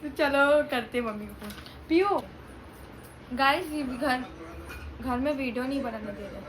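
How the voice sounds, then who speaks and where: A young woman chatting casually and excitably to camera, informal and a bit bossy with her companions, as a family vlogger. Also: sits high, normal speed, a crowd in the background. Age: young adult. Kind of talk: casual chat to camera in Hindi vlog. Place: at home, indoors. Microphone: phone propped up without a stand. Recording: noisy home phone recording.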